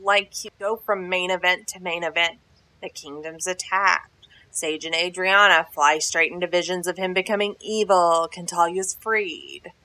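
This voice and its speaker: A woman's voice: fairly low pitch, fairly even intonation, normal speed, bright, forceful tone, rough recording. A woman playing a blunt, bossy teenage girl in an audio drama, speaking in a brusque, slangy, impatient way. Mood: critical.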